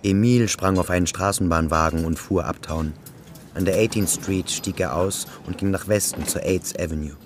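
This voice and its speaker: A man reading scripted literary narration for a radio drama in a measured, matter-of-fact way. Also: deep voice, slight background noise.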